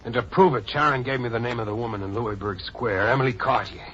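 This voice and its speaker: A man's voice: mid-range voice, fast talker, a bit bright, lightly acted. Earnest, defiant young man, acted, muffled recording. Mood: troubled. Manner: earnest, tense, stubborn. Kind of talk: old-time radio drama acting. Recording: muffled vintage radio recording with music.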